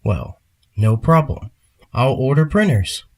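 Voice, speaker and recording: A man's voice: medium pitch, big pitch swings, slow, creaky, a little mellow, full character voice. A man playing a pragmatic, dismissive boss ordering change in an audio drama.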